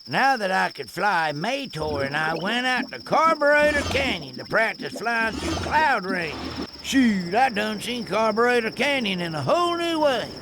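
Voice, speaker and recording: Folksy cartoon tow truck, high-pitched, Southern US accent, gravelly, boastful storyteller, video game narration, loud effects behind. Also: male voice, very animated, unhurried, heavy background noise, exaggerated voice. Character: excitable, folksy male cartoon tow truck spinning tall tales.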